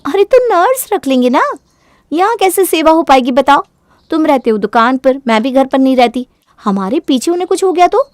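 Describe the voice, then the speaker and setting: A woman's voice: high-pitched, lively intonation, moderate pace, no room sound, studio quality, pitched above the performer's own voice. A woman voicing a self-centred, bossy young wife in an audio story, with a demanding, argumentative manner. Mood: irritated, defiant. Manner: sharp-tongued, demanding, argumentative.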